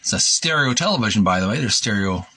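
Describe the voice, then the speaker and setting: A man hosting a retro gaming video, reading a book aloud in a halting, deliberate way with casual commentary. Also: on the low side, lively intonation, pushed and bright, husky. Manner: halting, deliberate, repeats himself.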